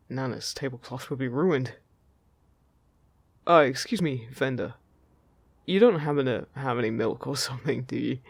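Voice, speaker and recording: Playful man acting a male fairy, slightly creaky voice. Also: medium pitch, dry recording. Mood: teasing, flustered. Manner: chatty, teasing, casual and reassuring. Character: friendly, playful, teasing male fairy guiding a human. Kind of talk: scripted audio roleplay addressed to the listener.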